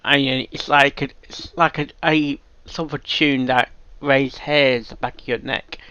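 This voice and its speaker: A man hosting a reaction video, giving casual off-the-cuff commentary in a halting, rambling way and often repeating himself. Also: medium pitch, fairly even intonation, sharp tone, clear, resonant tone, no echo, highs cut off.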